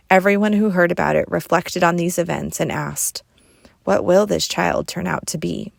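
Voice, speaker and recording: A woman reading scripture aloud in a measured, careful manner, as a church reader. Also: on the low side, vocal fry, no room sound, studio quality.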